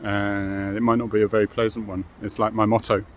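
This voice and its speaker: A man's voice: lowish voice, little pitch movement, fairly quick, slight background noise. A middle-aged British man giving an informal, explanatory monologue as a former police officer, frank and matter-of-fact, often adding tag questions.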